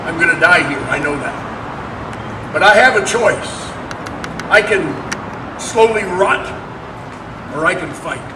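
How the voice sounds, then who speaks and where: A man's voice: sits high, background noise. A man giving a solemn, declamatory dramatic stage reading. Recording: roomy distant recording with music underneath.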